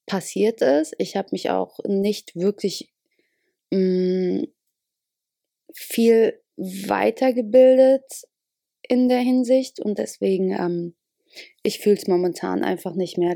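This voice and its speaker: A young woman hosting a podcast, talking casually and spontaneously from her bedroom in a relaxed, rambling way with many fillers. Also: fairly low pitch, vocal fry, dry recording, clean studio sound. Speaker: podcast host.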